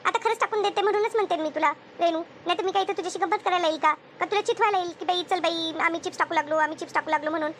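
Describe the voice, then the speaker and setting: Woman voicing high-pitched, bustling village housewife. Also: rapid-fire, few pauses, a bit bright, a little hiss or hum. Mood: friendly, earnest. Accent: rural Maharashtrian. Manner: chatty, scolding, rambling rural homemaker talk. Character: bustling village housewife Shantabai, chatty, bossy, caring neighbour. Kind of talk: comedy cartoon dubbing.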